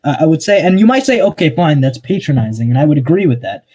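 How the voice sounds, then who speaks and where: A young man arguing his point in an online voice-chat debate, halting and restarting, often cutting in with "hang on". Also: on the high side, big pitch swings, mellow, slightly rough. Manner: hesitant, restarts a lot, interrupts with "hang on".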